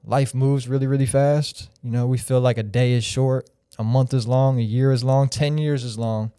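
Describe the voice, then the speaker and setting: A man's voice: mid-range voice, fairly even intonation, moderate pace, mellow, smooth, no echo, studio quality. A man in his thirties from Florida, a podcast guest talking in a rambling, reflective, freewheeling way. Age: 30s. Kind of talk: casual podcast chat.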